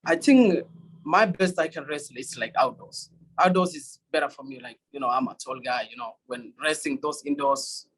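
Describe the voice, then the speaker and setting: A young man from Kenya with a Kenyan accent, a Swahili speaker, answering interview questions haltingly with many fillers and restarts. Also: mid-range voice, animated, fairly bright tone, slightly rough, low-quality recording. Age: young adult. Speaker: college runner.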